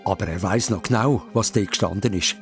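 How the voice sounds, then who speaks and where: A man's voice: lowish voice, fairly quick, gravelly. A man with a Swiss German accent narrating a children's story dramatically, in short, suspenseful phrases. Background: music.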